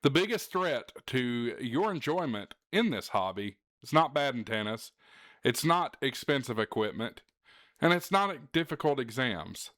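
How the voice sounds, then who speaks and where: A man's voice: medium pitch, very animated, unhurried, a bit bright, no room sound, studio quality. A man hosting a hobby show, talking casually and directly to the listener in an earnest, encouraging way with emphasis on key words. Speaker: host of a ham radio show. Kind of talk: casual direct-to-camera talk.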